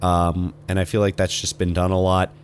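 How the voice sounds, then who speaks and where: A man's voice: low-pitched, monotone, moderate pace. Hesitant man in comedy banter, slight hum. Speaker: improv podcast performer. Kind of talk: casual improvised comedy banter.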